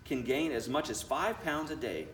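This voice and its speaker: A friendly farm woman from a children's picture book, read aloud by a man, explains things with emphasis and lively pitch swings. The sound is echoey and a little distant from the mic, with faint noise. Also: normal speed, faint music behind, lightly acted. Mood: cheerfully instructive. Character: Missus Bell, friendly woman pumpkin farmer explaining things.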